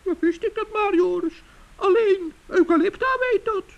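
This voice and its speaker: Male forest gnome, very high pitch, gravelly, stammering, fretful, vintage radio play. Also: normal speed, highs cut off. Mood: dismayed. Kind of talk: 1960s children's radio play.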